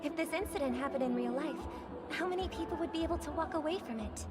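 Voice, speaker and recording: A woman voicing a poised, courteous songstress in a video game, speaking in a polite, soothing, measured way. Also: high voice, loud music behind the voice, close to an everyday voice. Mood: diplomatic, composed.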